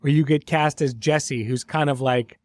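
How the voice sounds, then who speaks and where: A man's voice: on the high side, steady tone, normal speed, a little mellow, dry recording. Guy hosting a podcast chat, thoughtful, stops and restarts, really dry sound. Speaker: host, series creator. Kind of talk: reflective conversational interview.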